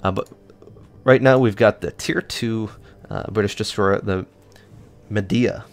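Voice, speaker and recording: Guy with a lowish voice going over game stats, rambly and offhand, lots of uhs. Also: measured pace. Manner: rambling, many fillers and restarts, offhand. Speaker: gaming channel host. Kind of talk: casual gameplay stats walkthrough.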